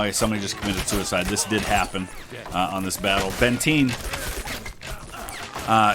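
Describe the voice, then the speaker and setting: A man with a low voice and a stuffy, congested nose from a sinus infection. He talks in a relaxed, rambling way, full of ums and uhs, as he narrates history over a game. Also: noisy background. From Ohio, United States. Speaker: gaming channel host. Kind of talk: casual history commentary over gameplay.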